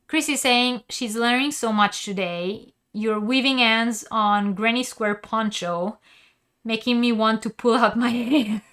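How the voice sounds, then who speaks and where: Slow-talking woman teaching crochet. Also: medium pitch, fairly bright tone, clean tone. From Israel. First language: Hebrew. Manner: explanatory, many ums and uhs. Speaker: crochet teacher hosting a live stream. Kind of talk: live-stream tutorial, reading chat.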